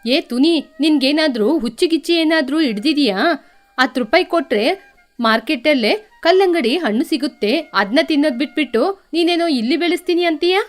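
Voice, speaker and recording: A woman's voice: high-pitched, lively intonation, brisk, soft background music. A woman voicing a lazy, scornful female crow neighbour in a children's cartoon, mocking and complaining as she talks.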